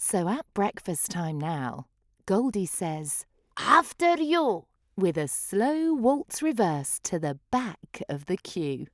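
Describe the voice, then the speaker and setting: A woman reads a children's picture book in rhyme, theatrical and rhythmic. Her pitch rises and falls widely and her pace is unhurried. The recording is very dry. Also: sing-song, warm tone, no echo. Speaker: children's story reader. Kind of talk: expressive picture-book read-aloud.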